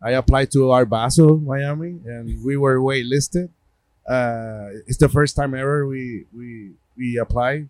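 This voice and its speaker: A man's voice: medium pitch, measured pace, darkish tone, dry recording. A man with a Puerto Rican accent, a native Spanish speaker, telling stories casually as an interview guest, often repeating words as he searches for phrasing. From Puerto Rico. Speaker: gallerist guest.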